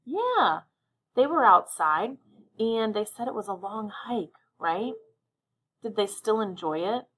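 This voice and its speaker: A woman's voice: mid-range voice, very animated, slow pace, fairly bright tone, slightly rough. Woman teacher leading a children's read-aloud. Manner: encouraging, addresses children directly with check-in questions. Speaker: elementary reading teacher.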